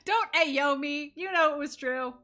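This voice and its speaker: A woman's voice: high voice, measured pace, bright, forceful tone. A playful, reactive woman streaming a game with casual commentary. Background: game music.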